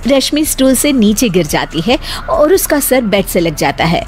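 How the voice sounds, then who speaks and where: A woman narrating a cartoon story in a lively storytelling way. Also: fairly high pitch, normal speed, a little hiss or hum. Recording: faint music behind.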